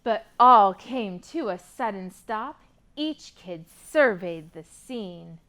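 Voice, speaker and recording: A woman's voice: medium pitch, animated, takes it slowly, fairly bright tone. A woman hosting a library storytime for young children, reading expressively and prompting them with encouraging questions. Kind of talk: picture-book reading and sing-along for young children.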